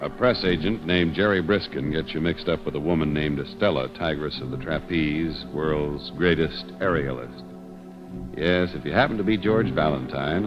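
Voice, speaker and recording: An American man announcing and narrating from a script in old-time radio style. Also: extremely low pitch. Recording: dull old radio recording.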